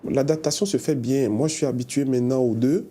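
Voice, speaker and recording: A man from Benin who works as a bank account manager, speaking in a steady, earnest tone in a testimonial interview. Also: mid-range voice, fairly quick.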